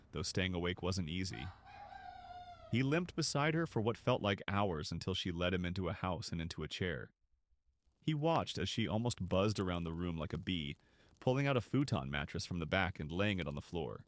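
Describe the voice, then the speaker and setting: A man narrating a scripted story for an audio drama in an expressive, dramatic way. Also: deep voice, moderate pace, no room sound. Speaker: narrator and voice actor.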